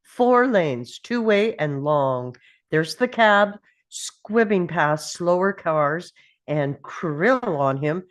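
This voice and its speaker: A woman's voice: on the low side, expressive, slow. A woman reading screenplay action aloud as narration in short, clipped phrases. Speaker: table read participant.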